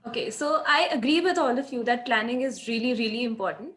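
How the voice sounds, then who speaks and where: A woman's voice: a little high, fast talker, fairly bright tone, slight background noise. A woman in her twenties with an Indian accent, giving conversational advice as a student panelist. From Nashik, India. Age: 20s.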